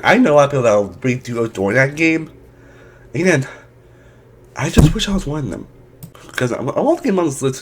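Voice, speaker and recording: A young man hosting a casual game ranking video, rambling with many restarts, his voice a little off from allergies. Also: mid-range voice. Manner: rambling, with frequent restarts and fillers. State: voice a bit off from allergies. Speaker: video game reviewer, channel host.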